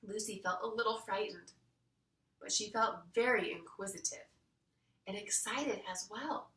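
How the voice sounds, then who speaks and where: A woman reading a children's story aloud in an expressive storytelling style. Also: mid-range voice, a little slow. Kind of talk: expressive scripted storybook narration. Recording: roomy echo, distant mic.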